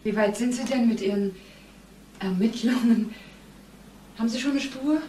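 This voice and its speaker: An actress playing a guarded, polite wife being questioned in a radio crime drama. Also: female voice, fairly high pitch, normal speed, mellow, close to an everyday voice. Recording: faint background noise.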